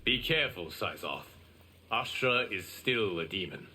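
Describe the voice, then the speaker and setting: A man voicing a calm, wise and benevolent god in a video game, solemn and deliberate. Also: lowish voice, slight background noise, heavily acted, low-quality recording. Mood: gravely kind. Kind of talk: video game character acting.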